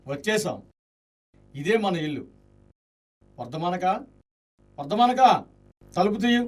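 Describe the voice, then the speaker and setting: Wry, grumbling, higher-voiced sidekick. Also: male voice, sing-song, a little slow, distant mic, exaggerated voice. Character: grumbling, wry companion of a nobleman. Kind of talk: classical radio drama acting.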